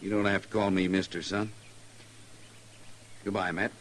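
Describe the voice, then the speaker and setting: A man's voice: low-pitched, level tone, normal speed, natural voice. An American man playing a weary, proud former lawman and feared gunfighter in a 1950s Western radio drama. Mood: resigned. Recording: old radio recording with faint noise.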